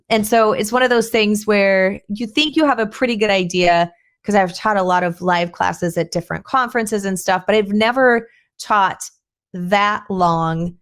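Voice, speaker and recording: A middle-aged woman with a hoarse voice hosting her own podcast, chatting in a rambling, thinking-aloud way with frequent restarts. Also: medium pitch. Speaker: podcast host. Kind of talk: casual solo podcast talk.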